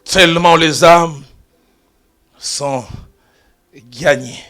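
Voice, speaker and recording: A man preaching a sermon as pastor, telling stories and shifting from quiet asides to loud exclamations. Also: on the high side, extremely slow. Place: church.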